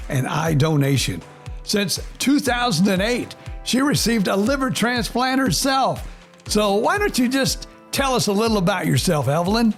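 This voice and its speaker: A man hosting a podcast, chatty and sympathetic with his guest. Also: high-pitched. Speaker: podcast host. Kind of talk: podcast interview.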